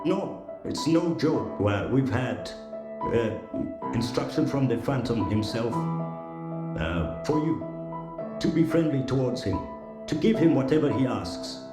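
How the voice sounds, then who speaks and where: A man's voice: medium pitch, animated, unhurried, long pauses, echoey room, lightly acted. A man playing a grave, formal opera house manager in an audio drama, speaking solemnly and with an ominous air. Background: plucked guitar music.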